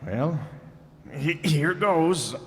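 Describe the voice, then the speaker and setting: A man's voice: on the high side, animated, drawn-out words. A man playing a grumpy, exhausted radio husband. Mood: muttering. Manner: grumbling, put-upon, stammering. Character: John, a grumpy, exhausted middle-aged husband. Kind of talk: old-time radio comedy acting. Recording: live stage recording with long reverb and faint noise.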